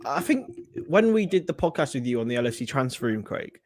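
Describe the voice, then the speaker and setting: A man's voice: mid-range voice, big pitch swings, brisk, dry recording. Rambling English male co-host, dry sound. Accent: non-Scouse English. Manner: rambling, many restarts, laughs easily. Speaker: podcast co-host. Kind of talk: casual podcast chat.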